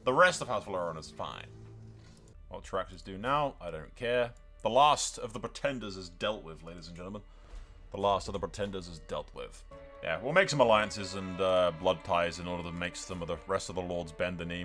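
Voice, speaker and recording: Male gaming host, lowish bright voice, blokey and foul-mouthed, chatty playthrough with music behind him. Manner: sweary, blokey, addressing viewers as lads. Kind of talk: casual game playthrough commentary.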